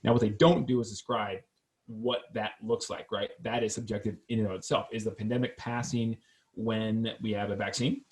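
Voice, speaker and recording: A man's voice: fairly low pitch, normal speed. A man hosting a real estate podcast from an office, talking casually and with energy, joking and tagging his points with "right?". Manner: energetic, joking, fact-focused, says "right?" often. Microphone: podcast microphone.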